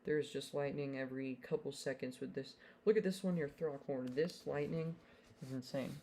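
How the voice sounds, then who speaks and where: A man's voice: medium pitch, steady tone, normal speed, dark tone, a little hiss or hum. A young man hosting a live weather stream, talking casually with fillers and giving urgent storm warnings from his home setup. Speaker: livestream weather host. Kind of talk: live storm-coverage commentary.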